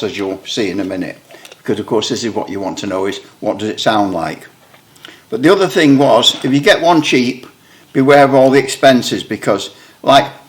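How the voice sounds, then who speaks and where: A British man chats through his radio kit in a relaxed show-and-tell. He rambles a bit and pokes fun at himself, at a middling pitch. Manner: rambling, wry, self-deprecating. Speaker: radio hobbyist video maker. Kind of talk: casual show-and-tell explanation.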